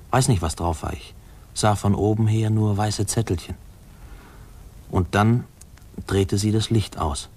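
An actor playing a man who recalls his fearful boyhood, narrating a 1950s German radio play in a hushed, halting, husky voice. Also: low voice, exaggerated voice.

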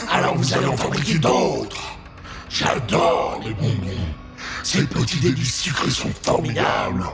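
Gravelly, cackling wicked ogress. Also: male voice, medium pitch, voice pushed down. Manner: menacing, mocking, drawn-out delivery. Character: huge wicked ogress, gloating, cruel, candy-greedy. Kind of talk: theatrical children's horror storytelling.

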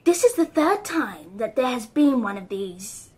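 An anxious English girl telling her story in a radio play. Her voice is high and breathy, and she tells it in a hushed, deliberate, intense way. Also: very animated, exaggerated voice. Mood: halting, reading aloud. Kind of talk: radio drama first-person narration.

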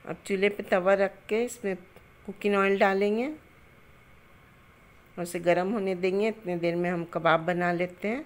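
A middle-aged woman hosting a cooking channel, calmly explaining a recipe step by step in a home kitchen, with homely greetings and blessings. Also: on the low side.

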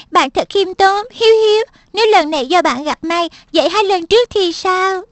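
A woman's voice: very high voice, moderate pace, no room sound. A woman voicing a cheerful, curious schoolgirl in a dubbed cartoon, asking eager questions. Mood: bright curious. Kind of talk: cartoon dubbing.

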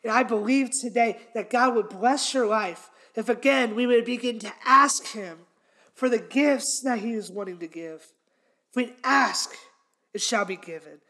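A man's voice: very high-pitched, a little slow, sharp tone. A young man hosting his podcast, teaching a Bible passage in sermon style, earnest and emphatic, repeating his key points. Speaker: podcast host and preacher. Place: small indoor room with a red wall. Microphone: fixed camera, head and shoulders framing.

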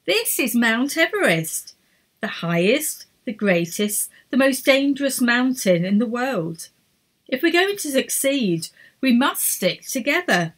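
A woman's voice: a little high, big pitch swings, measured pace, pitched above the performer's own voice. A woman voicing a bossy, sensible female echidna who keeps ordering her team to stick together. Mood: firm. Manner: insistent, commanding.

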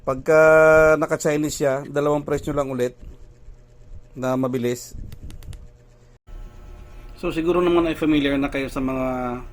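A man walks viewers through a gadget setup in a casual how-to video. He talks unhurriedly, step by step, often pausing on fillers as he goes. His pitch sits in an ordinary middle range. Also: fairly even intonation. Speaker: tech vlogger.

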